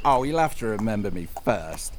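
An actor playing a cheerful, sly trickster guide in a comedic fantasy audio drama, chatty, glib and theatrical. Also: male voice, lowish voice, sing-song, normal speed, a little hiss or hum. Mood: gleefully smug.

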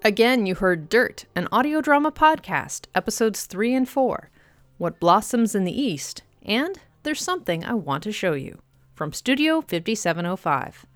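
A woman's voice: medium pitch, big pitch swings, normal speed, no echo. A woman hosting a podcast, reading a scripted outro announcement. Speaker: podcast host.

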